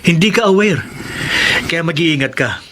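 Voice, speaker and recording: A man's voice: on the high side, animated, moderate pace, bright, forceful tone, slight background noise. An actor with a Filipino accent playing a weary, responsible married professional man in an earnest radio drama manner. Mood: tired, concerned.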